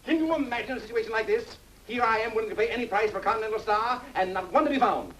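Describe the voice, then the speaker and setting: A man's voice: high-pitched, fast, a bit bright, slight background noise, rough recording. An actor playing a brash, wisecracking American showman in a 1930s-style film, blunt and impatient.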